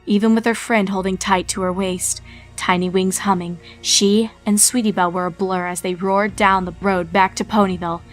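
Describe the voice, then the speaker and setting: A woman narrating a horror fanfiction story in a measured storytelling manner. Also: medium pitch, steady tone, faint music behind.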